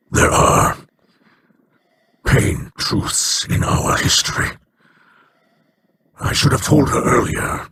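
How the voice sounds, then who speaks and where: Solemn alien elder in a game, slow formal talk, noisy capture. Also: male voice, gravelly, full character voice. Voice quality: low, measured, formal speech. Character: solemn Eliksni male elder, grave and regretful. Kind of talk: video game dialogue.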